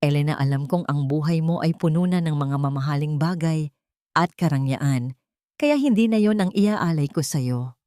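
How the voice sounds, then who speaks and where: A woman's voice: low voice, normal speed, mellow, smooth, no room sound, studio quality, natural voice. A woman with a Filipino accent voicing a devoted male doctor in love, speaking tenderly and earnestly in an audio story.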